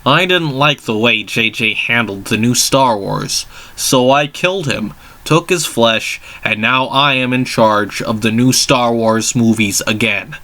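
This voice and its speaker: A man's voice: mid-range voice, unhurried, few pauses, bright, forceful tone. A man as a smug, pompous villain mastermind. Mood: smug, self-righteous. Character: smug, self-righteous male villain mastermind.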